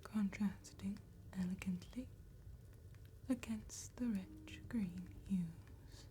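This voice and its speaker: A woman playing an elegant, calm vampire tattoo artist in a soft-spoken, hushed and deliberate ASMR roleplay. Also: mid-range voice, natural voice. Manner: hushed, unhurried, deliberate phrasing.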